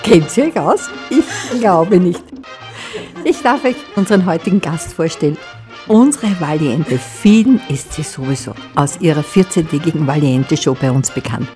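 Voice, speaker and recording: A woman's voice: low voice, animated, normal speed, mellow. A woman with an Austrian accent hosts a radio interview in a playful, colloquial way. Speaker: radio host. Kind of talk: lively casual interview. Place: radio broadcast. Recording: music behind the voice. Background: music.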